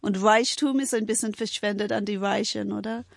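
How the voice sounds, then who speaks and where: A woman's voice: medium pitch, fairly even intonation, fairly quick, gravelly, no echo, studio quality. A middle-aged woman from England with an English accent, a non-native speaker telling things casually and informally, often adding little tag questions. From England, United Kingdom. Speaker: interview guest. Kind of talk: casual conversational storytelling.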